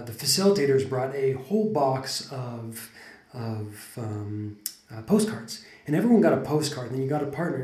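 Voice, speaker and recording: A man's voice: medium pitch, darkish tone. A man co-hosting a podcast, talking things through thoughtfully with many restarts and fillers. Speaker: podcast co-host. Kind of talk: casual conversation. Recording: roomy echo.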